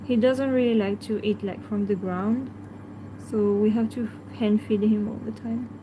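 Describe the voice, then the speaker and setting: A woman with a French accent, a native French speaker, hosting a casual livestream and answering viewers in a hesitant way full of fillers, sometimes talking softly to her pet. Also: medium pitch, steady tone, normal speed, noisy background.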